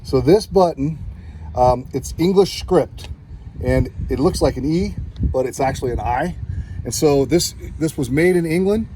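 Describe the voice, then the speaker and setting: A man's voice: fairly high pitch, unhurried, mellow, a little hiss or hum, low-quality recording. An American man from California telling a casual, rambling hobby story and acting out what people said. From California, United States. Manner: rambling, acts out quoted exchanges. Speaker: metal detecting hobbyist telling his story.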